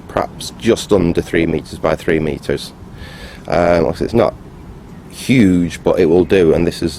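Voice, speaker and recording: A man giving a casual, unscripted, matter-of-fact video tour in a halting, rambling way. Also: low-pitched, gravelly, a little mellow, slight background noise. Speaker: vlogger, hobbyist restorer.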